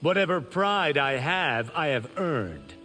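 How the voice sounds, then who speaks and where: Boastful young martial arts champion, smug, unhurried, lively pitch, game score behind. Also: male voice, faint music behind, heavily acted. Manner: smug, self-assured, grand delivery. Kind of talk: video game character dialogue.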